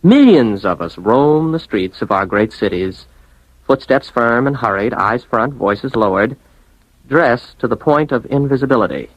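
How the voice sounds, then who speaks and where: A man's voice: medium pitch, expressive, a little slow, dry recording, rough recording. An American man playing a lonely, diffident young office worker with romantic ideas in a 1950s radio drama, wry, articulate and hesitant. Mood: wry, wistful. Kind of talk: 1950s radio drama acting, first-person narration.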